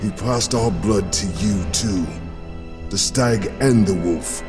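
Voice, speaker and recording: A solemn fantasy warrior, played by a man speaks in a lowish voice, grave and portentous. Each phrase is deliberate, set in a long hall reverb with music underneath. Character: solemn warrior clansman warning of dark magic. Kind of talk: fantasy audio drama acting.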